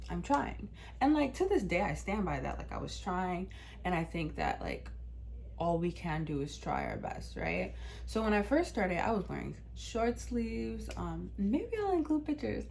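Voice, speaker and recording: A woman's voice: lowish voice, gravelly, a little mellow, slight background noise. A young woman in her twenties from Washington, D.C., telling a personal story to her channel in a candid, chatty way with plenty of "um" and "like". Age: 20s. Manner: candid, chatty, many fillers like "um" and "like". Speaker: vlogger.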